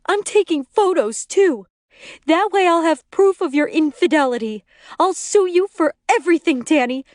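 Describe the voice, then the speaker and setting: A woman voicing a hysterical, jealous pregnant wife in an audiobook, screaming shrill accusations. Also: wildly swooping pitch, normal speed, a bit bright, dry recording, clean studio sound. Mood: bitter, threatening. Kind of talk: acted audiobook dialogue.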